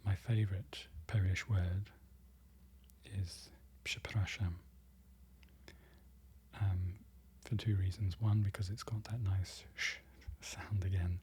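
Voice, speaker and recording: A presenter whispering an ASMR-style lesson, slowly repeating foreign words and correcting themselves. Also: deep voice, flat delivery, slight background noise. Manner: whispering throughout, soft and close. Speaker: presenter learning Polish from a book.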